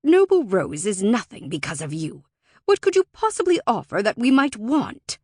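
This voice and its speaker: A woman narrator acts out a male Fae of fantasy fiction, frightened and quick to blame. He speaks with scowling, forceful indignation. The sound is dry and close. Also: mid-range voice, sing-song, no echo. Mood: scornful. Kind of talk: audiobook character acting.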